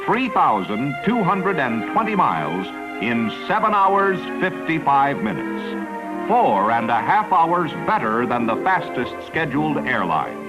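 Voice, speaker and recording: Male American narrator for a vintage promotional film, lively intonation, polished announcer delivery over a clear music bed. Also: a little high, measured pace, low-quality recording. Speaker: newsreel narrator. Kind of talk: 1950s promotional newsreel narration, scripted.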